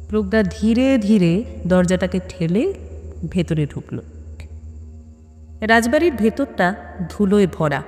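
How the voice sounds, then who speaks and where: Woman narrating suspense, long reverb. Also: mid-range voice. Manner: dramatic, suspenseful. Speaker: storyteller, narrator.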